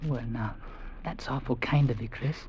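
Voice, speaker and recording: A man's voice: medium pitch, normal speed, darkish tone, breathy edge, lo-fi sound. An actor playing a cheeky, earnest young British army drummer boy in an old-time radio drama. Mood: tender, manly bravado. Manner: earnest, cocky, deferential to officers.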